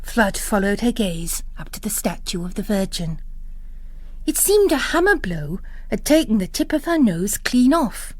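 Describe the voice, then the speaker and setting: A woman's voice: mid-range voice, sing-song, measured pace, dry recording. A woman reading literary fiction as a narrator, in a dramatic audiobook style.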